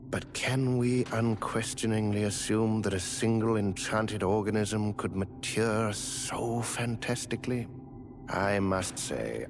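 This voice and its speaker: An actor playing a courteous, theatrical gentleman adventurer in a dark fantasy video game, speaking in formal, flowery, archaic phrases. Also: fairly low pitch. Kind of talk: video game character dialogue.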